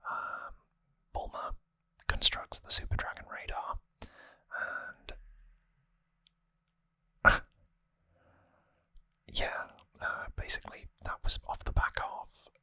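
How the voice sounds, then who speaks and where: An ASMR host speaks entirely in a breathy whisper, right up close to the microphone. The sound is dry and crisp, with bright, sibilant detail. The delivery is unhurried and informal, with small wry asides. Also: dry recording. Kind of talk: whispered ASMR, rambling trivia recap.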